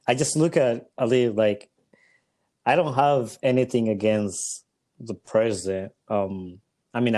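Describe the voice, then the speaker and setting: Man from Uganda, young podcast host, low warm voice, relaxed earnest chat. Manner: relaxed, earnest, frequent fillers and affirmations. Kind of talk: casual interview.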